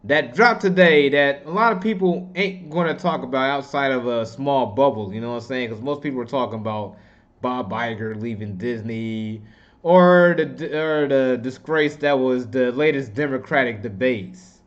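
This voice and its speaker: A man's voice: medium pitch, moderate pace. A man giving casual, opinionated political commentary in a rambling, slangy, informal way. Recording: dull, muffled lo-fi audio.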